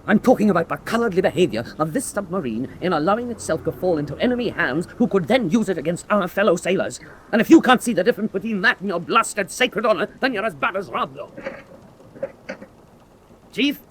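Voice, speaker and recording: A hardline submarine officer bent on mutiny. His intonation is lively and swings widely as he presses his case with scorn, in a radio drama with slight noise behind him. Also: high-pitched, brisk, rough recording. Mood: commanding, indignant. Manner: insistent, scornful, argumentative. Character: defiant hardline German U-boat first-officer rival, ruthless mutineer.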